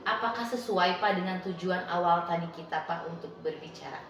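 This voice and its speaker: A woman conducts a coaching interview. She is polite and attentive, with a slightly low voice. The recording is heavily reverberant, with clear noise behind her. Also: fairly quick, a bit bright. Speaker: teacher coach. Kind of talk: role-play coaching interview. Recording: very echoey room with clear noise.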